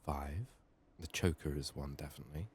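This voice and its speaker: Very deep, soft-spoken man. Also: a little fast, a little hiss or hum. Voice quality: hushed, soft, sometimes slipping into whisper. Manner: muttering, thinking out loud. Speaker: gaming video host. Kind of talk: soft-spoken ASMR game playthrough commentary.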